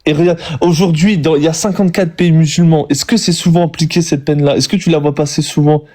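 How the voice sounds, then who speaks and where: A man's voice: fairly high pitch, fairly even intonation, quick pace, dark tone. A man with a cold arguing insistently in a live debate, lecturing his opponent. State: has a cold. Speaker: debate guest.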